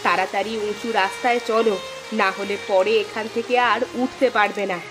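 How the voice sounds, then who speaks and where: A woman voicing a worried, sharp-tongued village housewife in a moral-tale cartoon. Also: mid-range voice, fairly even intonation, fairly quick, music in the background, close to an everyday voice. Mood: alarmed.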